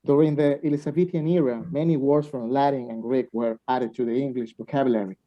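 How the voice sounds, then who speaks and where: A man's voice: mid-range voice, steady tone, brisk, lightly acted. A man playing a blunt, competitive, lovestruck young student in a radio play, challenging those around him. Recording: dull muffled lo-fi recording.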